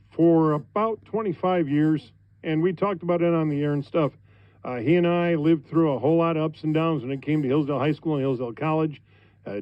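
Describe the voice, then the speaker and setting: A middle-aged man with an American accent, a radio host talking on air in an informal, conversational, halting way. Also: on the high side, dry recording, lo-fi sound. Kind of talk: informal on-air thank-you speech. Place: radio studio.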